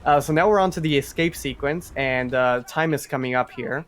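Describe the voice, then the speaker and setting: A man's voice: mid-range voice, normal speed, slight background noise. A man giving casual live commentary on a video game speedrun, explaining as he goes.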